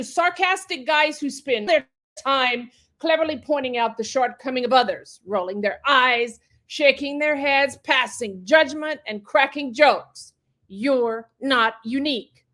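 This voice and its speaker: Actress as a fiercely indignant female friend, high-pitched, biting, dramatic monologue delivery. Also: fairly bright tone. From Dominican Republic. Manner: biting, indignant, sprinkled with Spanish. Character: scornful, angry woman protective of her friend.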